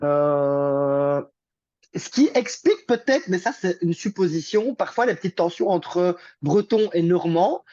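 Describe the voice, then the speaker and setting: A man's voice: sits high, lively intonation, a little slow, warm tone, dry recording. A young man talks as a guest, rambling from one thought to the next with plenty of fillers and restarts. The recording sounds muffled and lo-fi. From Belgium. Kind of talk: casual conversation.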